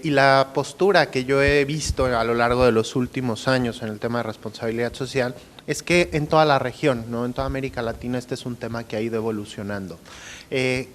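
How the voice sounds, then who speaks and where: Mexican man explaining on a panel. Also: mid-range voice. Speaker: panelist, university academic.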